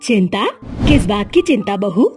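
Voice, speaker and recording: A woman's voice: mid-range voice, lively intonation, moderate pace, a little mellow, faint music behind, pitched below the performer's own voice, close to an everyday voice. A woman voicing a scheming older mother-in-law who scolds and commands her daughter-in-law. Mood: scolding.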